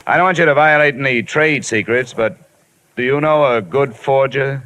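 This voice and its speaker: A man's voice: medium pitch, moderate pace, bright, forceful tone, close to an everyday voice. A man with an American accent acting a hard-boiled, weary insurance investigator in an old radio detective drama, clipped and wry. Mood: weary, businesslike. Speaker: lead actor and narrator. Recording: noisy old radio broadcast recording.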